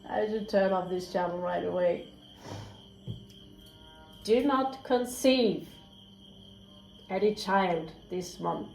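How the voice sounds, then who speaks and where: A woman's voice: medium pitch, slow pace, creaky, long pauses, a little mellow, faint music behind. Chatty woman in an echoey room. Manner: candid and chatty, swears freely, drops into whispered asides. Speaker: tarot reader. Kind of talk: casual spoken tarot reading. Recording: roomy echo, faint crickets.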